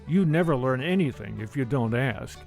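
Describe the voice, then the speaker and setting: A man's voice: medium pitch, lively intonation, moderate pace, creaky, over music. A man hosting a TV feature show, narrating scripted segments in a folksy, reflective storytelling style. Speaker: TV show host.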